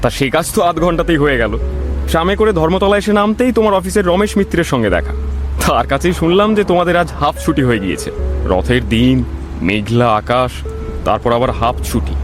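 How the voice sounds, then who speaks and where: A man's voice: fairly high pitch, moderate pace, natural voice. A man playing an eager, wonderstruck young office clerk, chatty and respectful, in a dramatised audio story. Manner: chatty, animated, deferential. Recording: music under the voice.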